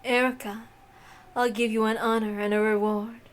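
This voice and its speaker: A woman voicing a cruel, imperious witch mistress who speaks in a languid, haughty, measured way. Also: on the high side, level tone, close to an everyday voice. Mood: cool, imperious.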